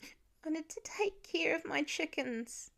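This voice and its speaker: A sweet, frightened little boy with a high, whiny voice, acted by a woman reading an audiobook. He speaks haltingly and tearfully, as if apologizing through his blubbering. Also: animated, gravelly, a bit bright, exaggerated voice. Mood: small, sleepy. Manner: halting, blubbering childlike speech. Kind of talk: audiobook character acting.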